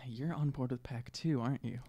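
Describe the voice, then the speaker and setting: A woman's voice: rumbling low, brisk, mellow. A woman takes part in a tabletop game, slipping casually between narrating and speaking in character. She sounds conversational. The recording is dry, free of echo. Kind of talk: casual improvised role-play.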